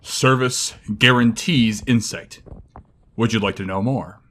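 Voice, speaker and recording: A man playing a slick male propaganda announcer in a measured promotional delivery. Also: medium pitch, fairly bright tone. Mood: persuasive. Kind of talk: mock propaganda ad. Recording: noisy background hiss.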